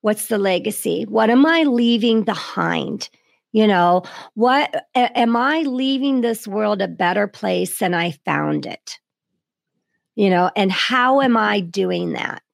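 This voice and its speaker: A woman's voice: medium pitch, lively intonation, slow pace, gravelly, dry recording, studio quality. A woman in her fifties hosting a podcast interview, chatty and full of "you know"s. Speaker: podcast host.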